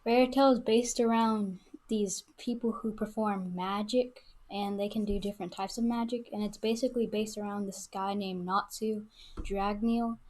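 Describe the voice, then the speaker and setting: A female podcast guest talks in a casual, informal way at a middling pitch. She is halting, often thinking aloud as she puts her answers together. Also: fairly even intonation, darkish tone. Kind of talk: casual chat.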